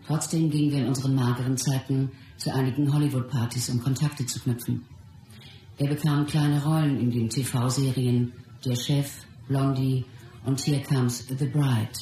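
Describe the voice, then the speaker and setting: An actress playing a wife who recalls life with her husband in a measured, composed radio drama narration. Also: a bass voice, fairly even intonation, warm tone, reverberant, a little hiss or hum, rough recording. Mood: reflective. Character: Bruce Lee's wife, reflective woman recalling their life.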